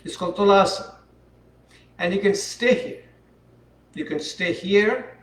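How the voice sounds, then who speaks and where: A man teaching a yoga class, speaking calmly and unhurriedly while he demonstrates the poses. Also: sits high. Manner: calm, unhurried instruction while holding poses. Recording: echoey room, voice from far off.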